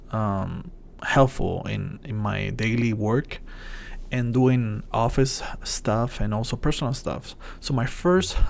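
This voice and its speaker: Puerto Rican man, gravelly hesitant delivery. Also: on the low side, slight background noise. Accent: Puerto Rican Spanish. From Puerto Rico. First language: Spanish. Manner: hesitant, many fillers and restarts. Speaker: channel host. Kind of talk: casual tech tips vlog.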